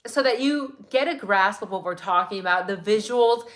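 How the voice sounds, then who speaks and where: A woman hosting a casual true crime livestream, chatty and excitable, her voice a little raspy today. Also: mid-range voice, moderate pace. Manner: chatty, excitable, many "like"s and "right?"s. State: slightly raspy voice.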